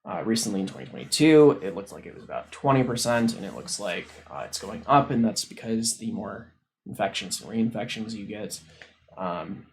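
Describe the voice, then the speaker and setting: A man hosting a science livestream, casually explaining a research paper as he reads, in a halting, informal way. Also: lowish voice, lively intonation. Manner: halting, informal, thinking aloud. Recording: noticeable background noise on livestream.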